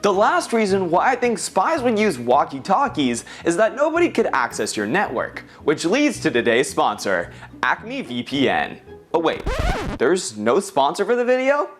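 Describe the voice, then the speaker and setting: A young American man presenting a scripted explainer in an upbeat, expressive way. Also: a little high, moderate pace. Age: young adult. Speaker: science video presenter. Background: background music.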